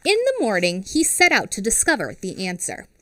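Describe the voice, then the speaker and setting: Woman in her thirties, teacher reading aloud for kids, lively rising and falling voice, dry close headset sound. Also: medium pitch, normal speed, dry recording. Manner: expressive, asks children questions. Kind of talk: children's picture book read-aloud. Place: small home studio with classroom backdrop. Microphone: headset mic.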